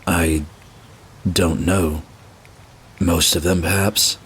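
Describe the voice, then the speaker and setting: An actor playing a dutiful, deferential soldier in a fantasy audio drama, restrained and respectful. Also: male voice, low-pitched, fairly even intonation, extremely slow, a bit bright, slightly rough, heavily acted. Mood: grave, deferential.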